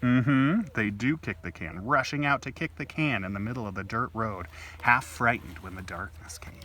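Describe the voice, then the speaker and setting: A man hosting a storytime, gently reading a picture book aloud to a small child with chatty asides. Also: mid-range voice. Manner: gentle, unhurried, explaining things to a small child. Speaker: library storytime host. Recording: distant home-video mic with faint noise.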